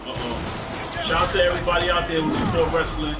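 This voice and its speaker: A man's voice: fairly high pitch, brisk. Rambling New man hosting a stream. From New York. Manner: loose, rambling, shout-outs. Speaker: show host. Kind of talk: casual chat while playing a game on stream. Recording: roomy, distant mic, loud music behind.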